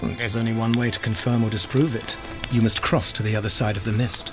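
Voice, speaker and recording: An actor playing a sly, arrogant, cynical court mage in a video game, speaking formally and smoothly with condescension. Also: male voice, on the low side, little pitch movement, a little fast, music in the background, close to an everyday voice. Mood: measured, probing. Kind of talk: video game dialogue acting.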